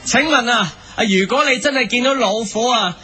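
An actor playing an upbeat, mischievous quiz show host in a radio comedy, with a Hong Kong Cantonese accent and a theatrical announcer's delivery. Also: male voice, very high voice, moderate pace, pushed and bright. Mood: teasing, explaining.

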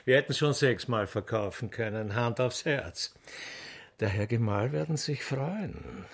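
A man's voice: medium pitch, a little slow, husky. An actor playing a fawning furniture salesman in a radio play, with an Austrian accent, an unctuous buttery voice and gushing, insinuating delivery. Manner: gushing, servile, insinuating, with lingering emphasis. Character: smarmy, flattering male furniture salesman wooing a customer.

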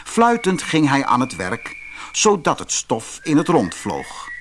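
A man reading a scripted fairy tale as an audiobook narrator, with expressive storytelling delivery. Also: a little high, takes it slowly, a little hiss or hum.

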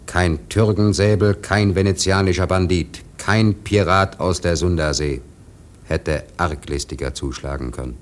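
A man narrating a radio play as grave, measured, dramatic literary storytelling. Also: sits low, fairly even intonation.

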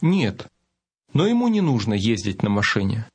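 A man modeling phrases in a language lesson, saying words slowly and breaking them into syllables. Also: fairly low pitch, very animated, breathy edge. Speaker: native-speaker model in a lesson. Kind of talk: scripted lesson demonstration.

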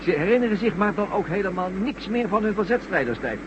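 A man's voice: high voice, expressive, quick pace. A man playing a chummy, reassuring colleague in a radio drama, breezy and colloquial. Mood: confiding. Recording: muffled, noisy old radio recording.

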